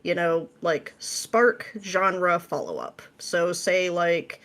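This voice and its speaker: Slightly low-voiced woman, casual and playful. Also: slow pace, a bit bright. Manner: informal, playful, slangy explanations. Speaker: podcast guest, script writer. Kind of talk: casual interview chat.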